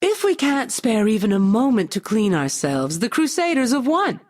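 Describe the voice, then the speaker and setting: A woman's voice: on the high side, very animated, moderate pace, a little mellow. An actress playing a spoiled, luxury-loving female adventurer in a fantasy game, haughty and complaining. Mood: exasperated. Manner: disdainful, complaining.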